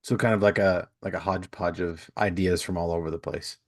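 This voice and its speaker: A man's voice: sits low, steady tone, a little fast, dry recording, clean studio sound. A man in his thirties or forties casually chatting as a podcast host and interviewer.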